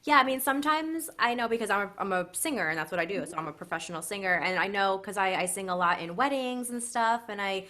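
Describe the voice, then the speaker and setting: A woman's voice: medium pitch, fast, few pauses, bright, forceful tone. A woman hosting a podcast over a video call, upbeat and encouraging as she asks questions. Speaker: podcast host. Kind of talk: casual interview. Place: small room indoors. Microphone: headset on a video call.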